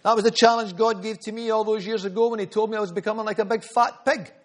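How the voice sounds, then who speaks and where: A man's voice: high voice, steady tone, fast talker. A man preaching a sermon, earnest and storytelling, often repeating phrases for emphasis.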